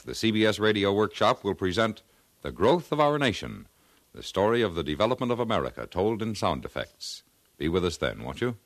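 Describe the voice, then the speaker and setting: A male network announcer in the polished style of fifties radio. His low voice rises and falls expressively over scripted copy, recorded dry and close. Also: a bit bright, dry recording. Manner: polished, formal delivery.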